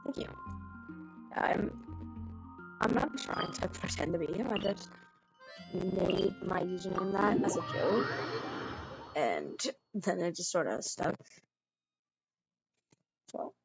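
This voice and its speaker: A woman's voice: mid-range voice, animated, slow pace, creaky, pauses often, low-quality recording. A woman streaming live, chatting casually as she plays, with game music behind her. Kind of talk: casual chat while playing a game. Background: video game music.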